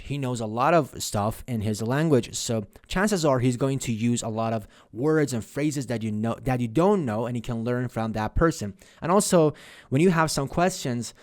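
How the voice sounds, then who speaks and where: Earnest man explaining. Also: mid-range voice. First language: Persian. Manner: earnest, repeats and restarts phrases while explaining. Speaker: language-learning vlogger. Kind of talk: casual tutorial monologue.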